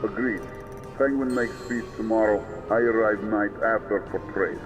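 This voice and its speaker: Male actor as terse arms dealer, low pitch, businesslike, clipped phrases, music underneath. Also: fairly even intonation, moderate pace, natural voice. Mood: stern, businesslike. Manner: clipped, terse, formal broken English.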